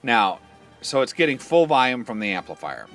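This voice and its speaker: A man's voice: mid-range voice, sing-song, moderate pace, a bit bright, faint music behind. A man demonstrating a product in an informal, matter-of-fact, step-by-step instructional style. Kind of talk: informal hands-on instructional walkthrough.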